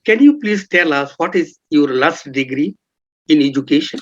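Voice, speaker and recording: A man's voice: on the high side, expressive, moderate pace. A man interviewing a guest in a formal way, with frequent short acknowledgements. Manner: formal, repeats acknowledgements like "yes" and "right". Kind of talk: podcast interview questions. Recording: dull lo-fi sound.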